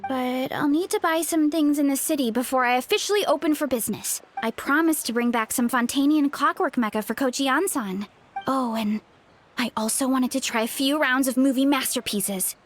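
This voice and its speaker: A woman's voice: high voice, normal speed, close to an everyday voice. A woman voicing a cheerful, easygoing young fruit seller in an anime-style video game, friendly and earnest. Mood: eager. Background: game music.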